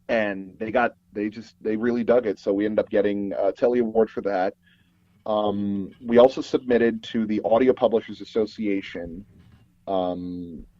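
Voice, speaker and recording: A man gives a casual interview in a low, mellow voice with a husky edge. He rambles hesitantly and puts himself down a little. The sound is dull and muffled. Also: no echo. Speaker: interview guest, audio drama producer.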